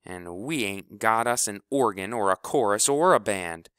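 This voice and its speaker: Man putting on a shouting, furious young bully. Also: lowish voice, lively intonation, a little fast, dry recording, studio quality. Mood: angry, accusing. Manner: shouting, heated, rough grammar. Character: loud angry boy bully, reckless accuser.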